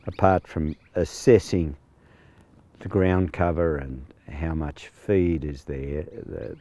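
A man in his sixties with an Australian accent, a farm manager talking plainly outdoors, with many pauses and fillers. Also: a bass voice, steady tone, dark tone. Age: 60s. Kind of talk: on-location interview. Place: outdoors on a rural farm paddock.

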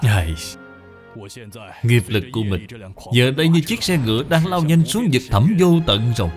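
Man voicing an earnest medium-pitched businessman. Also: very animated, normal speed, over music, natural voice. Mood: anxious, regretful. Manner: polite, earnest, pleading. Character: earnest, conscientious Buddhist businessman, adult son. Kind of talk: dubbed moral cartoon dialogue.

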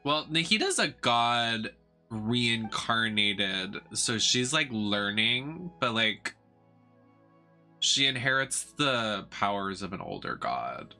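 Campy, slow-paced streamer with lively pitch. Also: soft background music. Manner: campy, playful, drawn-out delivery. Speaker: game streamer. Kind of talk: casual livestream commentary. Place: indoor streaming setup.

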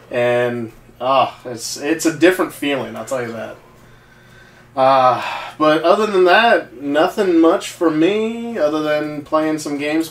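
A man chatting casually with friends in a small home den, telling stories with lots of fillers. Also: medium pitch. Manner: rambling storyteller, many fillers. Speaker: co-host. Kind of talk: casual chat. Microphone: far camera mic. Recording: echoey room from far off.

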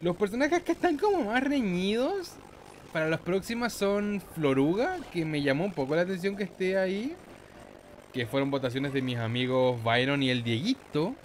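A man with a Chilean Spanish accent giving casual, excitable let's play commentary in a playful, slangy, exclamatory manner. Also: high voice, normal speed, a little hiss or hum. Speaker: gaming YouTuber, host.

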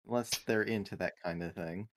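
A man's voice: fairly low pitch, brisk. A man talking casually as a player in a tabletop roleplaying game. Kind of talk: casual chat.

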